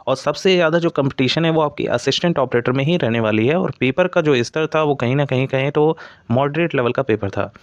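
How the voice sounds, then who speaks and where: A man's voice: mid-range voice, no room sound. A man giving an informal explainer as an exam coaching presenter, often padding his points with stock phrases.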